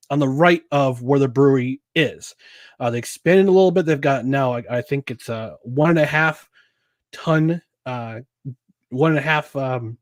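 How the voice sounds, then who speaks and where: A man's voice: fairly high pitch, no room sound, clean studio sound. A man hosting a casual solo podcast about sports and beer, rambling with chuckles, filler words and restarts. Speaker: podcast host.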